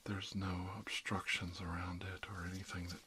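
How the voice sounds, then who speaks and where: A low, soft male voice of a man acting as a calm emergency dentist in an ASMR roleplay. He soothes and reassures without hurry, often dropping into a whisper. The recording has clear background noise with small clicks. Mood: careful, soothing. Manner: soothing, unhurried, reassuring, talking a patient through each step. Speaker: ASMR roleplayer playing a doctor.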